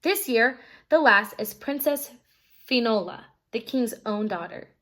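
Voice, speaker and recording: A cautious, worried gentleman master from a storybook voiced by a woman. He speaks in grave warnings, in a fairly high register. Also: animated, measured pace. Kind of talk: children's storybook read-aloud.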